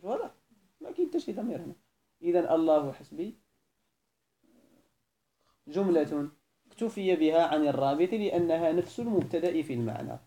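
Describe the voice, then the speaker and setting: A man's voice: a little high, sing-song, fairly quick, pauses often, warm tone. Moroccan man quizzing grammar students. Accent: Moroccan Arabic. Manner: probing, repeatedly asks why and corrects. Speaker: teacher of Arabic grammar. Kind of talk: classroom lesson, questioning students.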